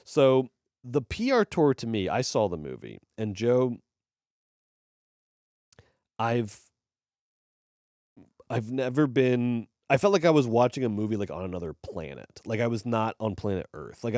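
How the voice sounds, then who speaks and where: A man co-hosting a movie podcast, reviewing films in casual chat, rambling and swearing with animated emphasis. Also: medium pitch, dry recording, studio quality. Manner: rambling and profane. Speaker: podcast co-host.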